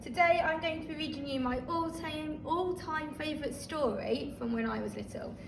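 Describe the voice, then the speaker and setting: A woman reading a children's story aloud like a teacher, expressive and talking listeners through the pictures. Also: high voice, normal speed, creaky. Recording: roomy, distant microphone, faint noise.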